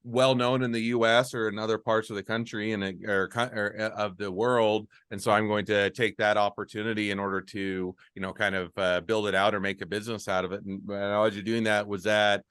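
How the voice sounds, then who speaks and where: Podcast host, male, resonant low voice, dead-dry mic; loose wordy questions. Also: flat delivery, brisk, few pauses, a bit bright, clean tone, dry recording. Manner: rambling, restarts questions often. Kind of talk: casual interview.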